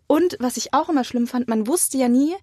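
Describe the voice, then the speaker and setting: A woman's voice: high voice, normal speed, a little mellow, breathy edge, no room sound, studio quality. A young adult woman giving casual, informal and anecdotal answers as an interview guest. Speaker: interview guest, acting student.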